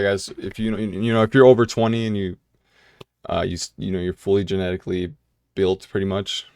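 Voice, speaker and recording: A man's voice: low voice, darkish tone, clean tone, no echo, studio quality. A young American man answering viewer questions in a casual vlog, talking loosely with lots of fillers and restarts. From United States. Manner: casual, filler-heavy with many "you know"s and restarts.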